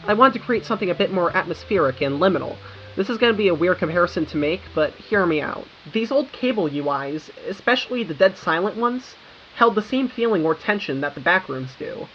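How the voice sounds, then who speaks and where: A woman's voice: fairly low pitch, lively intonation, brisk, muffled recording. A young American woman narrating a scripted video essay with informal, wry, self-aware asides. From United States. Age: young adult. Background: background music.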